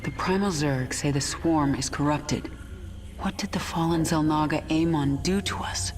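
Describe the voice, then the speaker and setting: An actress playing a vengeful alien swarm queen in a video game, cool, deliberate and commanding. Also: deep voice, lightly acted. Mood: cool, resolute. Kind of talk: video game cinematic acting.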